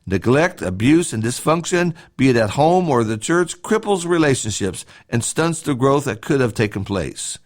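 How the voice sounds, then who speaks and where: A man reads a scripted devotional talk as a church podcast host, in a measured, instructive way with short emphatic phrases. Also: mid-range voice, sing-song.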